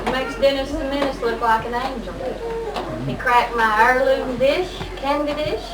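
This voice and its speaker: A woman's voice: high voice, moderate pace. A woman talking informally in an amateur home-video setting. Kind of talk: informal amateur performance and chat. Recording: distant echoey home video, noisy crowd.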